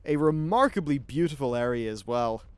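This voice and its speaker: A man's voice: medium pitch, animated, normal speed, clean tone, dry recording. A man hosting a gaming video, giving casual, informal gameplay commentary with some swearing.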